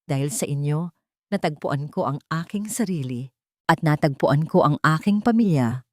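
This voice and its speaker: A woman with a Filipino accent voicing a gentle, respectful former housemaid, now a loving mother, in an audiobook romance. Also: low-pitched, lively intonation, normal speed, no echo, studio quality. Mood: grateful. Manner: respectful, sincere.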